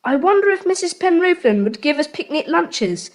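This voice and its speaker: Impulsive young schoolboy, very high voice, slangy, exclamatory, audio drama adventure. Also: female voice, sing-song, normal speed. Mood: eager.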